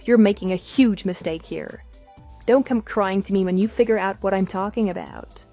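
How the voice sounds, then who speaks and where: A woman plays an unimpressed wronged wife in a radio drama. She has a medium-pitched voice and a dry, firm, sarcastic delivery, with soft music underneath. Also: moderate pace, close to an everyday voice. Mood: firm, warning. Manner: dry, firm, matter-of-fact. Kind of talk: scripted radio drama dialogue.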